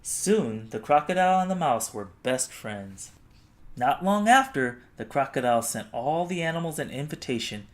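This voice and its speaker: A man narrating a children's storybook expressively, with dramatic, deliberate phrasing. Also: mid-range voice, expressive. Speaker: storybook reader.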